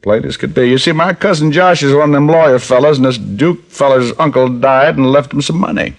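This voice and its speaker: An actor playing a detective who puts on a bumbling country bumpkin from rural Arkansas, folksy and halting, in a 1950s radio drama. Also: male voice, medium pitch, creaky, pitched above the performer's own voice, natural voice. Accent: put-on rural Arkansas drawl. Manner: halting, folksy, full of uh's.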